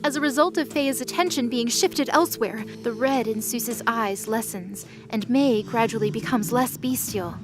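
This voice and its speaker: Higher-voiced woman narrating dramatically. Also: normal speed, hardly any pauses, music in the background. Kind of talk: dramatic scripted narration of a fantasy audio drama.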